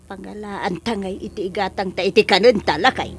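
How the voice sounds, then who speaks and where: A woman's voice: mid-range voice, brisk, a little hiss or hum, lightly acted. A woman playing a hardworking, emotional Filipino mother in an audio drama, with an Ilocano accent. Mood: exasperated.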